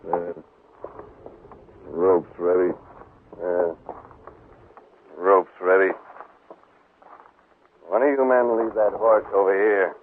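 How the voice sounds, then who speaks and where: Cruel lynch mob leader, low pitch, sneering, menacing, 1950s radio western, narrow muffled noisy recording. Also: male voice, steady tone, takes it slowly, pauses often. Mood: menacing taunting. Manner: taunting, menacing.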